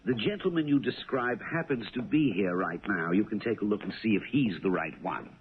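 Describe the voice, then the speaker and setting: An actor playing a polite, officious club attendant in a 1970s radio drama, formal and a little hesitant. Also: male voice, lowish voice, animated, fairly quick, close to an everyday voice. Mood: formal, reluctant. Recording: noisy narrow-band old radio broadcast.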